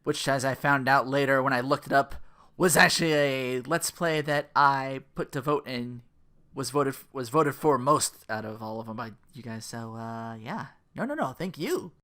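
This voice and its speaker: A man's voice: medium pitch, normal speed, sharp tone. A man hosting a gaming podcast in a casual, rambling, slangy monologue, often acting out imagined quotes. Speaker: podcast host.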